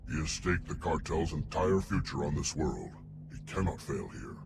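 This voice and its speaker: A man's voice: rumbling low, moderate pace. A man voicing a haughty alien Hutt scientist in a video game, condescending and wordy, a huge slug-like genius. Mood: proud, urgent. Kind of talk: video game cutscene acting. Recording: music playing behind.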